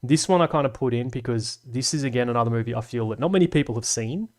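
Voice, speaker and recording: Aussie bloke streaming, chatty and sweary, keeps wandering off topic, mid-pitched voice. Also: male voice, fast, a little mellow. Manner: rambling, sweary, laughs often. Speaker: live stream host reading chat. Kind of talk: casual live stream chat.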